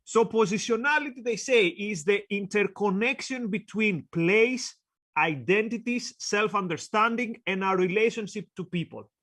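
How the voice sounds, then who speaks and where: A man with a Greek accent and a fairly high voice co-hosts a casual discussion. He reads quotes aloud and talks in short, paused chunks. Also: a bit bright. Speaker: co-host.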